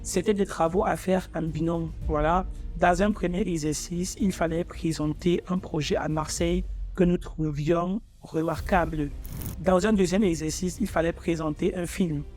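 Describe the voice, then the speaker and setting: A young man in his twenties with a fairly high-pitched voice speaks informally to his viewers, as a student vlogger would. He is from Africa and a native speaker of English. Faint music plays behind him. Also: steady tone, moderate pace, darkish tone. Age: 20s. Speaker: architecture student presenting his own channel. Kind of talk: personal vlog, talking to viewers.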